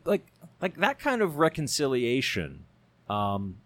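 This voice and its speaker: A man on a talk show who owns a bookstore, talking politics casually in animated bursts with many restarts. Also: medium pitch, measured pace, no echo. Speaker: show regular and bookstore owner.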